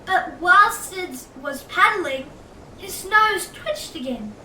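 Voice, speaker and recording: A woman reading a children's storybook aloud as host, in a theatrical, exclamatory way with drawn-out emphasis. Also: very high-pitched, animated, bright, forceful tone, far from the mic, slight background noise. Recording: roomy echo, off-mic, music bed.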